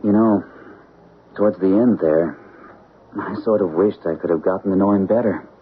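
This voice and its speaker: A man's voice: low voice, normal speed, a little hiss or hum, close to an everyday voice. A man playing a skeptical, curious husband. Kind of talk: 1970s radio drama acting. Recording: tinny old radio broadcast.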